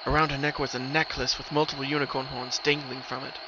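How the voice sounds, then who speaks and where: A man with a mid-pitched voice narrates a horror story in a dramatic reading. His delivery is scripted narration. Clear noise runs behind his voice. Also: level tone, fast talker, muffled recording. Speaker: narrator of horror fan fiction. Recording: noisy recording, rumbling ambient background.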